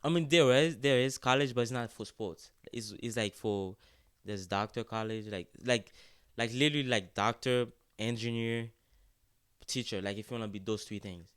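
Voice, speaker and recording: A young man from Haiti, a student-athlete guest, speaking in a relaxed, casual way and often saying "like" and "yes, sir" in an interview. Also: mid-range voice, normal speed, clear, resonant tone, no echo, clean studio sound. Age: young adult.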